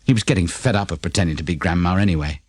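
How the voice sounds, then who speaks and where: A man's voice: low-pitched, racing, dry recording, clean studio sound. A man with a British accent reading a children's story expressively as an audiobook narrator.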